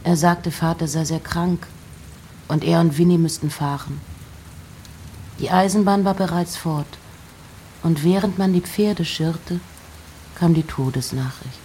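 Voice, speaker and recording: A woman giving a literary reading of poems and letters with measured, deliberate phrasing. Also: on the low side, dark tone, slight background noise, poor audio.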